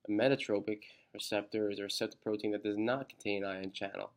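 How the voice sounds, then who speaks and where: A congested man reading a study outline aloud, halting and restarting on technical words. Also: on the low side, steady tone. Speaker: student presenter.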